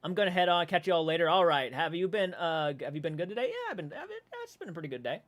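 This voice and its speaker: A man in his twenties hosting a casual livestream, reading viewer comments aloud and reacting with animated, swearing banter. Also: a little high, fast talker. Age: 20s. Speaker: livestream host. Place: dark room at home.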